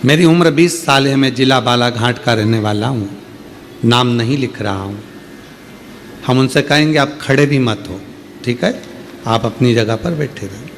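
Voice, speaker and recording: A man's voice: medium pitch, unhurried, a little hiss or hum. Man preaching with rising emphasis. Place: open-air religious gathering.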